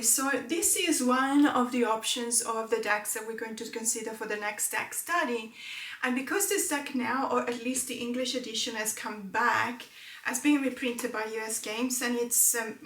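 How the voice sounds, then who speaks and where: Woman, native Italian speaker, tarot vlogger, slightly high voice, rambling chat, echoey room. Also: fairly bright tone. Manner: chatty, rambling, many fillers. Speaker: tarot channel host. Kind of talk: casual talk to camera. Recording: roomy echo.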